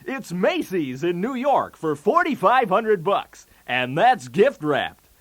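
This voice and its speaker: A man's voice: sits high, sing-song, slow, a bit bright, full character voice. A man playing a brash, wisecracking 1980s TV game show host with showy, punchy patter. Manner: showy, jokey, with punchy delivery of gags.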